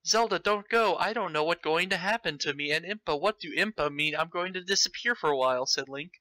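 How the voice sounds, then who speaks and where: A man's voice: on the high side, brisk, bright, forceful tone, no echo. A man reading fan fiction aloud with theatrical, mocking delivery and breaking off to comment. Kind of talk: comedic dramatic read-aloud with commentary.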